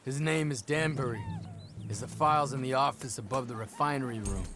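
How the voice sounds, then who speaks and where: Serious young game character, acted by a man: guarded, understated, mid-pitched, slight ambience behind. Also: a little fast, fairly bright tone, slight background noise, lightly acted. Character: earnest, guarded young man seeking justice. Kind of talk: video game cutscene acting.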